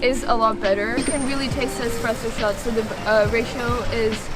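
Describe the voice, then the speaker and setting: A woman's voice: fairly high pitch, steady tone, a little fast, loud music behind the voice, poor audio. A young woman, a student co-host, casually explaining her opinions in an informal review vlog. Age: young adult. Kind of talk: casual chat.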